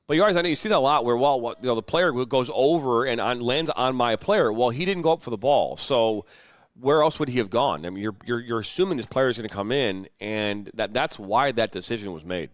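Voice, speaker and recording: A man doing play-by-play for a youth soccer match, chatty and quick to explain the rules. His voice sits in an ordinary middle register. The sound is close and dry, with almost no room around it. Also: mid-range voice, animated, dry recording. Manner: chatty, explains referee calls at length. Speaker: play-by-play commentator. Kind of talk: sports commentary. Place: commentary booth at soccer complex.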